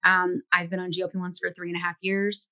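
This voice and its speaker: A middle-aged woman co-hosting a podcast, chatty and quick to laugh, with animated asides. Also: fairly low pitch. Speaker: podcast co-host. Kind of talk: casual chat and ad read.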